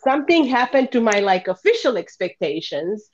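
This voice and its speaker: A woman from Bosnia in her thirties, telling her story as a podcast guest. She talks in an animated way and laughs often. The audio sounds dull and lo-fi. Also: fairly high pitch, normal speed. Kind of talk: casual interview talk.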